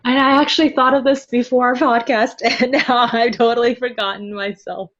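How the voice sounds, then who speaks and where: A woman's voice: on the high side, fairly even intonation, normal speed, fairly bright tone, muffled recording. A woman speaking as a podcast guest in a casual chat, playful and giggly, often thinking aloud.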